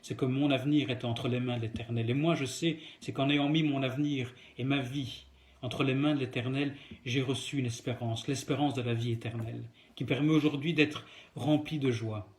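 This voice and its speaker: Male preacher, medium pitch, earnest exhortation, emphatic repetition, direct address. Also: steady tone, normal speed, darkish tone. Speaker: preacher giving a daily devotional. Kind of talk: devotional talk, exhortation addressed to the listener.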